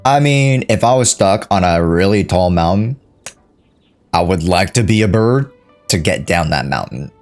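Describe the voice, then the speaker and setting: A man's voice: low voice, lively intonation, normal speed. A man giving casual live reactions as a game streamer, sometimes reading along and repeating himself. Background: game music.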